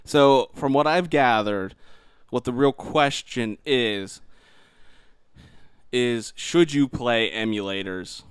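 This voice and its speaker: A man's voice: medium pitch, slow pace, long pauses, clear, resonant tone, no echo. A man co-hosting a podcast in a casual, conversational chat style. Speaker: podcast co-host.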